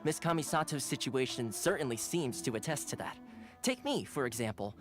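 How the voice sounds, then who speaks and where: A man's voice: mid-range voice, animated, fairly quick, natural voice. An actor playing a friendly, suave young male retainer in a video game, with a polite, playful and formal way of talking. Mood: warm, explanatory. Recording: game dialogue over background music.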